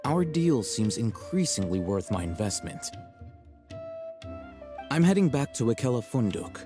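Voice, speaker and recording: An actor playing a composed, aloof young male scholar in a video game, speaking in a measured, articulate, matter-of-fact way. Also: mid-range voice, very animated, over music. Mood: composed, analytical. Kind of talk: scripted video game dialogue.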